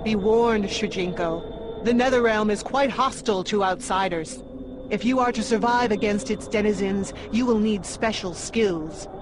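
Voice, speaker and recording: Lady doing a solemn demon swordswoman for a game, calm n formal, mid pitch, noisy ambience behind. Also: natural voice. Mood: solemn, measured. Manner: formal, measured, composed. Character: solemn, determined female demon swordswoman seeking purity. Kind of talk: video game cutscene acting.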